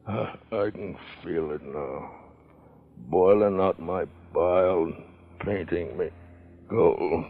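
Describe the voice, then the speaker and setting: An actor playing a gruff sea captain driven mad by gold and fever, with harsh barking lines in 1940s radio acting. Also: male voice, deep voice, highs cut off, exaggerated voice. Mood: haunted. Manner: gruff, turning to manic shouting. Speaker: narrator and lead.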